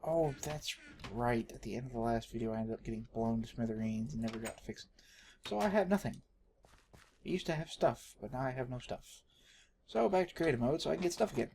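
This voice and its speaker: A mid-pitched man with a Texan accent chats casually through a gameplay video. He rambles and half talks to himself in a jokey, self-deprecating way. The home recording carries a faint layer of background noise. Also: brisk, gravelly, dark tone. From Texas, United States. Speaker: gaming video host.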